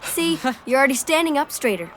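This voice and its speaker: An actress playing a peppy, bossy high school girl in a family audio drama, urgent and encouraging. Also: very animated, fairly quick, noisy background.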